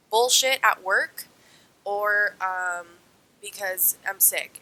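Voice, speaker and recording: A young woman with a nasal, congested voice from a cold and sniffles, telling a true crime story casually and informally to her viewers. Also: pushed and bright. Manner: informal, sweary, talks to viewers as friends. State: sick with a cold, sniffling, dry sore throat. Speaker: host of a true crime video series. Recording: poor mic with clear noise and rumble.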